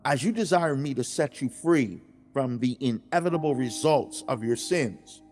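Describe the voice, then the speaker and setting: A man playing God as a stern, loving, earnest father in a dramatized religious audiobook, speaking emphatically and deliberately with dramatic pauses. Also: medium pitch, animated, a little mellow, faint music behind, natural voice. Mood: solemn, instructing. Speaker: audiobook narrator.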